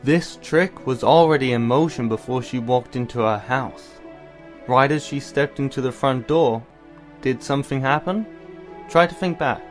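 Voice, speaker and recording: Man acting a calm, bookish older brother. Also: mid-range voice, faint music behind, natural voice. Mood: knowing, patient. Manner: measured, explanatory, slightly formal. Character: calm, caring, bookish older brother who explains things. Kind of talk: anime-style audio drama acting.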